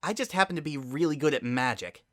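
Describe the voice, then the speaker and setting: A man's voice: a little high, fast, a bit bright, no room sound, studio quality, pitched above the performer's own voice, natural voice. A man voicing a defensive young female unicorn pony, a guilty younger sister, in a dramatic story reading. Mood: upset, heated. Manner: blunt, defensive, emotional.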